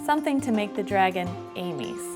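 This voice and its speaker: A woman's voice: medium pitch, very animated, fairly quick, music in the background. A woman reading a children's story as scripted narration. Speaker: storybook reader.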